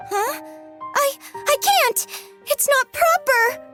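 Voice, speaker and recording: A woman's voice: very high-pitched, expressive, slow pace, music in the background, heavily acted. A woman voicing a timid, proper young servant girl in a video game, hesitant and pleading. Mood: flustered.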